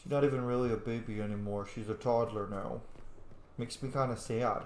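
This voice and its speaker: A man's voice: mid-range voice, fairly even intonation, a little fast, darkish tone, slight background noise. Casual man commentating in an echoey room. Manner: informal, jokey, reads dialogue choices aloud. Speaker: gaming video host, player.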